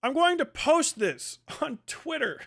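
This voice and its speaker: A man's voice: very high voice, sing-song, takes it slowly, fairly bright tone, voice pushed up, full character voice. A man voicing a self-righteous social media user, indignant and melodramatically shocked, in a livestream parody. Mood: mock outrage.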